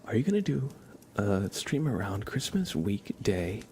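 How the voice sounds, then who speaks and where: A man with a low voice hosts a casual ASMR livestream, speaking softly and often slipping into a whisper. He rambles playfully to his viewers. Some ambient noise sits behind him. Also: very animated, measured pace. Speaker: livestream host.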